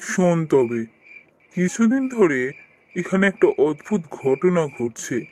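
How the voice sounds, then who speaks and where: Investigator uncle for animated ghost story, played by a man: high-pitched, brisk, caring, preoccupied. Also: soft background music, exaggerated voice. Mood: grave, storytelling.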